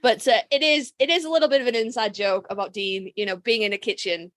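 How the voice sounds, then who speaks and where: A woman's voice: a little high, fairly even intonation, fast, fairly bright tone, dry recording, clean studio sound. A woman co-hosting a podcast in casual chat, with a blunt, irreverent, informal way of talking. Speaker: podcast co-host. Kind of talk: casual chat about fan fiction.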